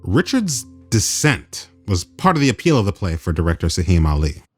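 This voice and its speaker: Male host, animated, low pitch, conversational scripted radio narration, light music bed. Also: moderate pace, breathy edge.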